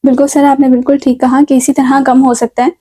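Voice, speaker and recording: A woman's voice: high-pitched, level tone, mellow, no echo. A Pakistani woman hosting a literary talk show in a polite, appreciative and deferential manner.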